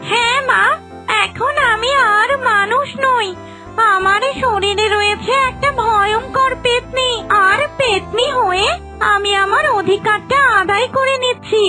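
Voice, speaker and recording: A woman's voice: very high voice, a little slow, over music. A woman voicing a young wife possessed by a bossy, menacing ghoul in a children's cartoon, imperious and threatening. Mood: pleased, assertive.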